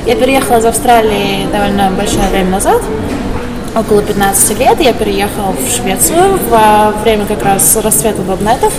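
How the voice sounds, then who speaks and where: A Russian woman working as a consecutive interpreter, speaking in a halting way as she searches for words. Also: medium pitch, lively intonation, loud music behind the voice, low-quality recording. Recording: loud vehicle-like ambient noise.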